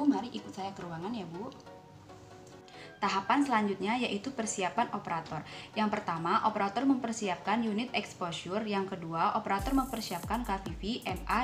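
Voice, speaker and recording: A young adult woman giving a polite, step-by-step instructional demonstration as a student. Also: medium pitch. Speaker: dental student demonstrating a clinical procedure. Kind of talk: instructional skills demonstration with role-played patient dialogue. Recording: roomy echo, music behind.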